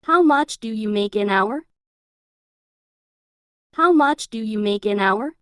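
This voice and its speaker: A woman reading an English lesson, saying each practice phrase twice in a slow, even way. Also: mid-range voice, clean tone, no echo, studio quality.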